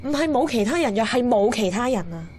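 A woman's voice: fairly high pitch, animated, fast, darkish tone, a little hiss or hum, close to an everyday voice. A woman with a Hong Kong Cantonese accent playing a wistful, candid young heroine who narrates her romance in a radio drama. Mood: frank. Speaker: narrator and lead character. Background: music.

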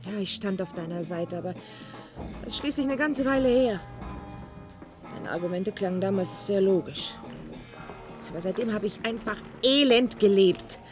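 An actress playing an exhausted, pleading wife in a German science-fiction radio drama, speaking with weary, emotional reproach. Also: female voice, medium pitch, moderate pace, pauses often, over music, natural voice. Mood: weary, reproachful. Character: exhausted, pleading young wife in a dystopian future.

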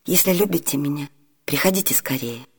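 A woman's voice: deep voice, expressive, a little fast, darkish tone, husky, exaggerated voice. An actress playing a spirited, commanding noblewoman in love in a classic comedy radio play, with theatrical, decisive delivery. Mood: tender. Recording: reverberant 1980s Soviet radio studio.

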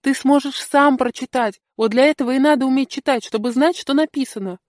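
Lady doing a bossy lil girl in a kids story, know-it-all, explains stuff, high voice. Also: darkish tone, dry recording, clean studio sound, natural voice. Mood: instructive. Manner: know-it-all, instructive, brisk. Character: bright, bossy little kindergarten girl. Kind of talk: storybook character dialogue.

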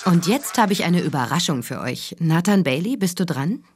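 A woman's voice: fairly low pitch, expressive, normal speed, lightly acted. A woman playing a sassy, teasing, probing radio talk-show host in a German radio drama. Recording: music behind the voice.

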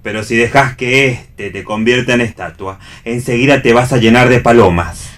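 A man's voice: mid-range voice, normal speed, a bit bright, natural voice. An Argentine actor playing a sardonic, prickly male friend in a radio play reading. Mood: sarcastic.

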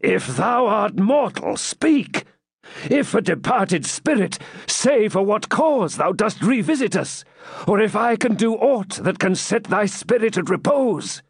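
Male narrator as stern old Saxon nobleman, storybook, archaic declamation, raised pitch, sweeping intonation, dry sound. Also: very animated, a little slow, dry recording, exaggerated voice. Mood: awestruck, urgent. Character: stern, proud, emotional old Saxon lord and father.

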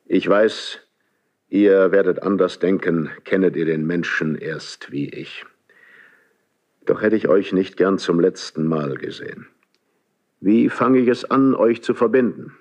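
An actor playing a proud, lonely, mistrustful aging king in a 1970s German radio play, declaiming classical verse in weighty, measured phrases with pauses. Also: low voice, a little mellow. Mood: stern, curious.

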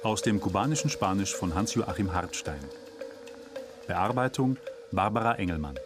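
A man with a low voice announces a radio drama. He reads scripted credits, with music clearly heard behind him. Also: animated, normal speed.

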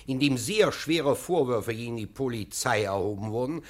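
A man's voice: medium pitch, lively intonation, moderate pace, natural voice. A man acting in a German radio play as a servile, zealous police official, deferential, officious and prone to stammering. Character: servile, zealous male police assessor, eager to please. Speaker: actor.

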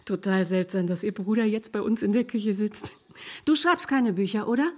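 Flatmate role female actor: young, brash, talkative woman with a medium-pitched voice, natural radio drama delivery. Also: moderate pace, close to an everyday voice. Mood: brash, excited. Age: young adult. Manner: blunt, chatty. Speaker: supporting character, flatmate.

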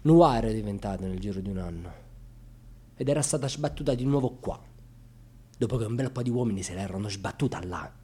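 An actor playing an intense, bitterly ironic male storyteller in a radio theatre monologue, with a husky, dramatic delivery that moves between murmurs and outbursts. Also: low voice, a little hiss or hum, lightly acted. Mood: hushed, sorrowful. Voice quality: husky, often dropping into breathy whispers. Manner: dramatic, swinging from hushed murmurs to loud outbursts.

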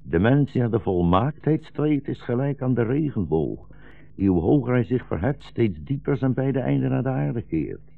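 An actor playing a weary, gentle old blind rabbi in a 1960s radio drama, speaking slowly and solemnly as a philosophical storyteller. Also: male voice, mid-range voice, sing-song, gravelly, soft background music. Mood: solemn, preaching. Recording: dull, roomy 1960s radio recording.

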